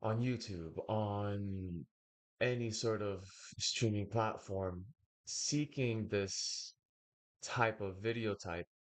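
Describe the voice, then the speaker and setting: Young male streamer, low-pitched, Bulgarian first language, slow and hesitant rambling commentary. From United States. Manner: slow, hesitant, wordy monologue with pauses. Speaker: reaction streamer. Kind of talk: casual commentary.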